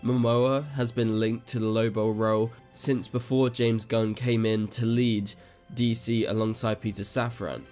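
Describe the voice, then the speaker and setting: A man with a lowish voice hosts his own online show, talking through news in a casual, chatty fan style full of asides. His voice sounds a little off, as if still recovering from an illness. Soft music plays faintly underneath. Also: flat delivery, measured pace. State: voice slightly off after an illness. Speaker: YouTube show host. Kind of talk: casual news commentary to camera.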